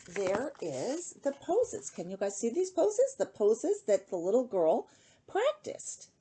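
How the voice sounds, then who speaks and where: A woman reading a rhyming children's story aloud in an expressive, sing-song way, speaking directly to young listeners. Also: mid-range voice, slightly rough.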